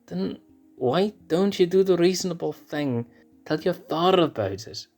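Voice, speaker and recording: A man's voice: a little high, expressive, a little slow, darkish tone, faint music behind, pitched above the performer's own voice. A man voicing a shy, sensible young male lion cub who speaks hesitantly and reasons with his friend. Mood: pleading.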